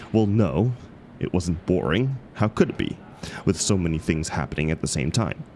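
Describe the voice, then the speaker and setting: Background noise sits under a very low, creaky male voice. A man acts out a grumpy book club member in an audio roleplay, sarcastic and indignant, with pitch that swings widely as he rants. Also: animated, moderate pace, darkish tone. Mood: grudging, defensive. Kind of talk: scripted audio roleplay acted as one side of a conversation.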